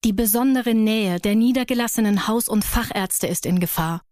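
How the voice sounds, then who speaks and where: A woman reading a scripted advertisement voice-over in a clear, informative way. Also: fairly high pitch, moderate pace, darkish tone, no echo, clean studio sound.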